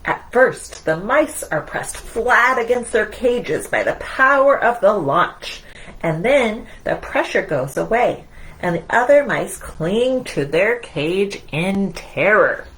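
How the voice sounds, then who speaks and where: Slow, animated woman leading children's storytime. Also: mid-range voice, poor audio. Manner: encouraging, singsong address to young children. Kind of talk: children's storytime with movement and read-aloud.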